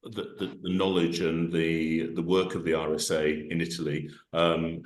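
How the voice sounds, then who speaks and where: Low voiced guy running a video call panel, lots of um and restarts, echoey room. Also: flat delivery, normal speed. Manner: hesitant, many restarts and fillers. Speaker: event organiser and moderator. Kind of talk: online panel discussion.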